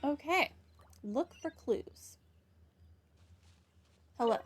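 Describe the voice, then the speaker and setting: A woman's voice: high voice, expressive, very slow, a little hiss or hum. A woman doing a casual game playthrough, commenting and reading text aloud. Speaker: game streamer.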